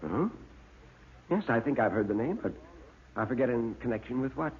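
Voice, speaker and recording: A man playing an uneasy, politely hesitant journalist trying to sound calm, in classic radio horror style. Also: mid-range voice, normal speed, husky. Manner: hesitant, stammering, politely understated. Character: uneasy male journalist bluffing calm in a waxworks. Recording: muffled narrow-band old radio recording.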